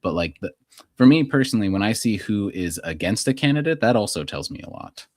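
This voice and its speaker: A man's voice: on the low side, big pitch swings, brisk, darkish tone, dry recording. An American man co-hosting a podcast, chatting about politics in an informal, opinionated way peppered with filler likes. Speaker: podcast co-host. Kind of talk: casual political chat.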